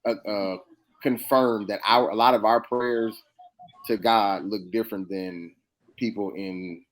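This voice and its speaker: An American man in a casual podcast discussion, speaking haltingly and repeating words as he works toward his point. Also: fairly low pitch, steady tone, low-quality recording. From United States. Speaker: podcast co-host.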